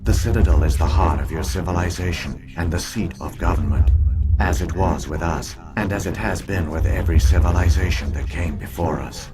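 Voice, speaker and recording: Game role: ancient machine guardian, male actor, very low voice, formal, measured, weary gravity, over clear music. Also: lightly acted. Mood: grave. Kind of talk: scripted video game dialogue.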